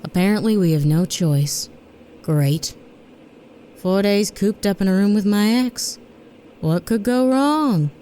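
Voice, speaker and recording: An actress playing a tough, sarcastic woman who leads a space mission in an audio drama, with a brisk, sardonic delivery. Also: medium pitch, animated, warm tone. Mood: exasperated. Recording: noisy background ambience.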